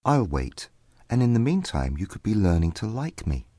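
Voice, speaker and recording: A woman voicing an earnest young gentleman suitor in a storybook, tender and persuasive in manner. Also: extremely low pitch, very animated, normal speed, husky, no echo, clean studio sound, pitched below the performer's own voice, heavily acted. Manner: persuasive, beseeching.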